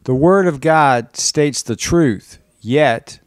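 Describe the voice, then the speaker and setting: A man's voice: a little high, takes it slowly, no room sound, soft background music. A man giving solemn scripted narration and scripture readings in an emphatic, declamatory manner.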